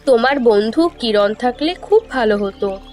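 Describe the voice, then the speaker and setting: A woman's voice: a little high, lively intonation, moderate pace, darkish tone, close to an everyday voice. A woman voicing a concerned, impatient village woman in a cartoon fairy tale. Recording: background music under dialogue.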